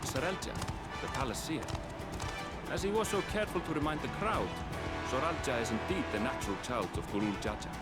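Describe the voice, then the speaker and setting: An actor playing an earnest young guide in a video game cutscene, speaking in a measured, formal and courteous way. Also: male voice, medium pitch, lively intonation, lightly acted. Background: game music.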